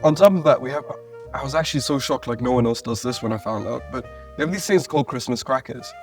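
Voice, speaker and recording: Man chatting casually, mid-pitched voice. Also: fast talker, faint music behind. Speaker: podcast panelist. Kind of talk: casual roundtable chat.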